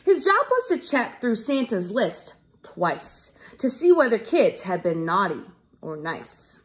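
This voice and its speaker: A woman reads to young children like a classroom teacher. Her voice sits a little high and swings expressively up and down. She goes slowly, keeping everything simple and clear to follow. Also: very animated. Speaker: teacher reading a storybook aloud. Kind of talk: children's read-aloud storytelling.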